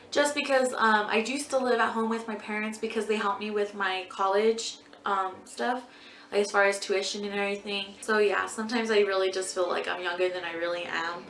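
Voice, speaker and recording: A woman's voice: medium pitch, vocal fry, fairly bright tone, a little hiss or hum. A twenty-year-old woman answering viewer questions as a beauty vlogger in a casual, rambling way, full of ums and likes. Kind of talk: casual Q&A chat. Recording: echoey room.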